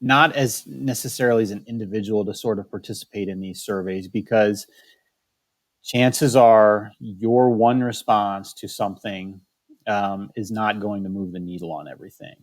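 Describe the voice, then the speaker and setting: A man's voice: fairly low pitch, fairly even intonation, normal speed. A man speaking as a state wildlife biologist in a candid interview, choosing his words carefully with frequent restarts. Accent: Michigan.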